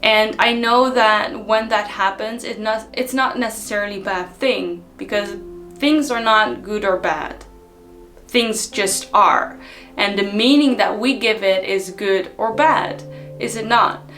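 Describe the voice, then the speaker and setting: A woman from the Netherlands, giving an unhurried, reflective motivational talk to her viewers with frequent ums. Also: medium pitch, fairly bright tone, faint music behind. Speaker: personal development vlogger.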